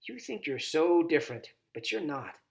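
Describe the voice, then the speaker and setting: A man's voice: a little high, big pitch swings, moderate pace, vocal fry. A man voicing a stern, nagging old grandmother in a storybook, insistent and scolding.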